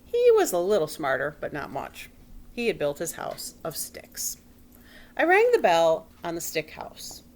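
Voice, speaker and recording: Lady doing a storybook wolf making excuses, real swoopy and unhurried, chatty and sly, bit of noise behind. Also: on the low side, huge pitch swings, a little mellow, pitched below the performer's own voice. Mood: self-excusing. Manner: folksy, conversational, exaggerated self-justifying. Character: defensive, sly wolf telling his side, chatty storybook narrator. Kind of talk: storybook read-aloud in character.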